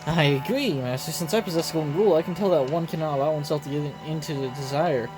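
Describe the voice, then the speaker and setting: A man's voice: on the high side, racing, natural voice. A man voicing an earnest, cautious companion in a video game, speaking formally, with music behind. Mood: earnest, wary. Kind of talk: reading game story dialogue aloud.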